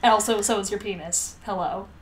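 A woman's voice: medium pitch, steady tone, a little fast, very noisy. A young woman co-hosting a podcast, chatting in an informal, playful way. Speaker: podcast co-host. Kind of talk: casual podcast chat.

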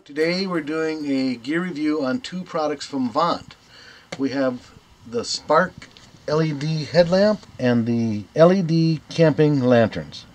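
A man's voice: on the high side, slow, a little mellow, faint music behind. A man in his fifties presenting a product review in a plain, step-by-step instructional way. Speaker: video host, gear reviewer. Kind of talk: product review narration to camera.

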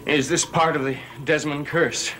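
A man's voice: on the high side, fairly even intonation, moderate pace, a little hiss or hum, poor audio. An actor playing an insistent, suspicious young man in a theatrical gothic soap opera, on an old TV soundtrack. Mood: probing. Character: insistent, suspicious man confronting his cursed cousin. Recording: old TV soundtrack under loud music.

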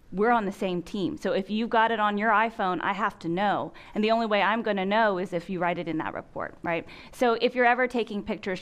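Woman leading a classroom Q&A. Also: medium pitch. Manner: conversational, asks the room questions. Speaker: prosecutor leading a training session. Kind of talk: interactive classroom training. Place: large conference training room.

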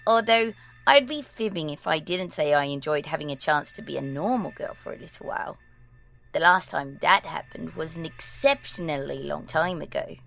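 Woman playing a precocious, creaky-voiced little girl. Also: deep voice, expressive, moderate pace, a bit bright, faint music behind, full character voice. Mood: prim, composed. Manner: proper, old-fashioned formal phrasing. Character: ancient girl in a nine-year-old's body, prim, precocious. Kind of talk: audio drama acting.

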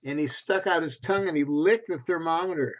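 A man's voice: fairly high pitch, brisk. A man in his sixties reading a picture book aloud to preschoolers, expressively and in simple phrases with little asides. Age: 60s. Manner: expressive, simple phrasing aimed at young children. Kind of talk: read-aloud children's storytelling with asides. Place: small bedroom at home, indoors. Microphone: computer webcam at desk distance. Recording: dull lo-fi webcam sound.

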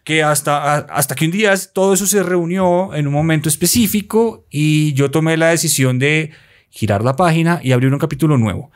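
A man in his forties from Colombia with a Bogotá accent, a podcast guest telling his story in animated storytelling chat with playful asides, recorded on a desk mic in a small studio. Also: a little high, a little fast. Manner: animated, gestures while talking, playful asides. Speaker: guest, motorcycle traveler. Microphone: desk mic close by.

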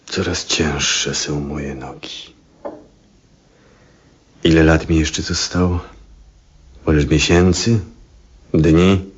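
An actor playing a weary old astronomer near death in a 1970s Polish radio drama, reflective and full of awe, pensively questioning himself in a breathy, often hushed voice. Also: male voice, extremely low pitch, fairly even intonation, heavily acted. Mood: weary, failing. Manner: slow, pensive soliloquy with rhetorical questions. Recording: dull, muffled 1970s radio recording.

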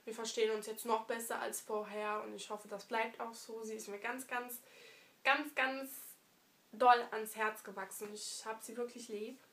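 A young woman vlogging casually from her living room with a stuffy nose from a cold, chatty and affectionate, pausing often with fillers. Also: a little high, little pitch movement, fairly bright tone. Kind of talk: casual year-review vlog.

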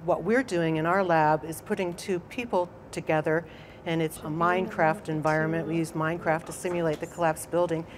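Low-voiced woman researcher explaining. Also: fairly even intonation, a little fast, a little hiss or hum. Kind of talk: interview.

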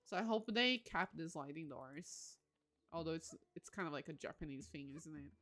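A woman's voice: low voice, expressive, moderate pace, sharp tone. A woman livestream host giving casual game commentary, musing aloud with enthusiastic exclamations.

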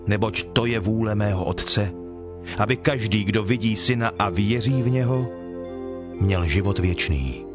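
A solemn male teacher of authority, played by an actor in a scripture drama. His voice sits on the low side and his delivery is measured and declarative, with clear music underneath. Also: close to an everyday voice. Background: background music.

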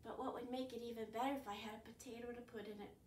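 Woman voicing an eager, high-pitched hungry boy. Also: fairly even intonation, rapid-fire, darkish tone, slight background noise, natural voice. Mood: eager, coaxing. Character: hungry, resourceful village boy, polite and eager. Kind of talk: children's storybook telling. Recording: roomy, distant camera microphone.

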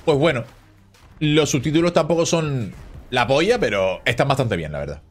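A man's voice: mid-range voice, normal speed, soft background music. Chatty man streaming a card game. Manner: relaxed, chatty, reads and answers chat. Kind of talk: casual live-stream chat while playing. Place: small home room, indoors. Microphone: headset.

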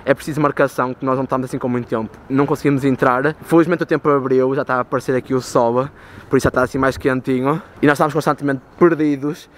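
A man's voice: mid-range voice, steady tone, very fast. A young man in his twenties with a European Portuguese accent, chatting casually to a camera held at arm's length while touring a city, rambling and full of fillers. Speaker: travel vlogger. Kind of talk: casual travel vlog. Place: outdoors in city streets and inside a stone cathedral and a big store. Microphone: handheld camera at arm's length. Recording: city street noise behind.

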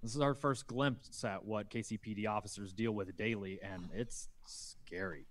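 Low-voiced male script narrator. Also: steady tone, normal speed, a little hiss or hum. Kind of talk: scripted narration of stage directions. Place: indoors on a group video call.

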